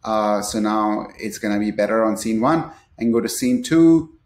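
A man presenting a screen-share software tutorial, explaining it step by step in a somewhat halting way. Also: fairly low pitch. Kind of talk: screen-share product tutorial, partly unscripted. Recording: echoey room.

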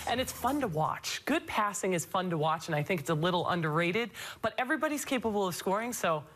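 A woman's voice: medium pitch, expressive, quick pace, fairly bright tone, faint music behind. A woman in her forties, a former player giving enthusiastic, emphatic post-game analysis in a TV studio on a lapel mic.

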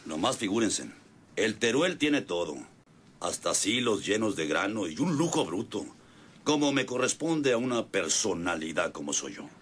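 An actor playing a greedy, boastful, bossy Mexican ranch gang boss in a rural radio soap, blustering and groaning over a bad leg. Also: male voice, lowish voice, big pitch swings, moderate pace. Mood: scheming, boastful. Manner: blustering, scolding, groaning with pain. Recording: noisy old radio drama recording.

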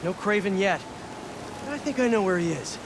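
An actor playing a young male superhero in a dark alien suit, cocky and intense, in a video game cutscene. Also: high-pitched, expressive, a little fast, background noise. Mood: low, assured. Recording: game audio under loud music.

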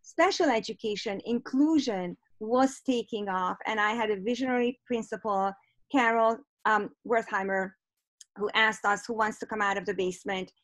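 A middle-aged woman from Hungary with a Hungarian accent, leading an online teacher workshop over a video call and warmly reading out participants' chat replies. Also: medium pitch, moderate pace, dry recording, low-quality recording. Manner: warm, encouraging, reads out chat replies. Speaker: professor leading a webinar. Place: home office on a video call. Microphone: computer mic on a video call.

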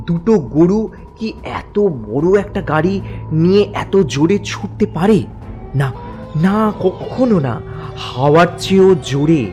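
A man tells a horror tale dramatically at an unhurried pace. His delivery is suspenseful, halting at tense points. Music plays underneath with chirping crickets. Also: mid-range voice, a little mellow. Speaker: narrator. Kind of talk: dramatic first-person horror story narration.

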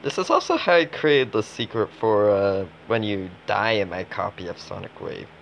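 A man giving casual, low-key live commentary as a gaming streamer, talking in fragments and mumbled asides. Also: very animated, moderate pace, creaky, a bit bright. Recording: dull lo-fi audio, noisy background.

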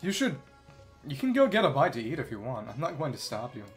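Expressive man chatting while streaming a game. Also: mid-range voice, brisk, faint music behind. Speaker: game livestreamer. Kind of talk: casual livestream gameplay chat and reading along.

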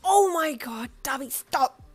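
A woman voicing a feisty, determined young woman in an acted audio story, emphatic and quick to snap. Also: sits high, soft background music, voice pushed up. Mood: excited. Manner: emphatic, excitable.

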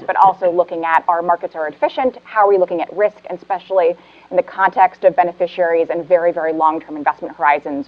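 A woman's voice: lowish voice, muffled recording. A woman in her thirties introducing herself on a conference panel as an investment manager, confident and fluent. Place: conference room panel, indoors.

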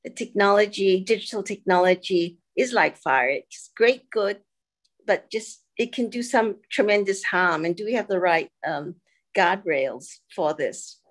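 An older woman from Singapore, giving a reflective presentation over a video call, pausing often with fillers. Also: medium pitch, expressive. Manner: reflective, halting with many fillers. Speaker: guest speaker.

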